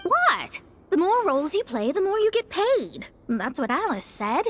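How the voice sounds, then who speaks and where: A proud young negotiator from a video game. She is theatrical and self-assured, with a high, swooping voice and faint ambience behind. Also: big pitch swings, unhurried, fairly bright tone, slight background noise, full character voice. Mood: defensive, breezy.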